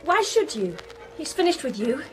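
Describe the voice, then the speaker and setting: High-voiced, sensitive young daughter. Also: female voice, sing-song, moderate pace. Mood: earnest, indignant. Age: young adult. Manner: earnest, questioning. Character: sensitive, curious young upper-class daughter, easily moved. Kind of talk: radio drama acting. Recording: music under the voice.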